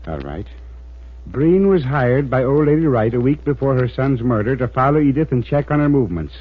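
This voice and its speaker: An actor playing a dry, teasing American police colleague in an old radio drama, probing with pointed pauses. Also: male voice, medium pitch, lively intonation, close to an everyday voice. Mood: measured, insistent. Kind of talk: mid-century radio drama acting. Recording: tinny, narrow old radio recording.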